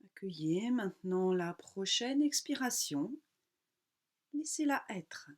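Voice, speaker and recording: A woman yoga teacher leads a guided relaxation in a mid-range voice. She speaks calmly and without hurry, giving her instructions in short phrases separated by pauses. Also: medium pitch.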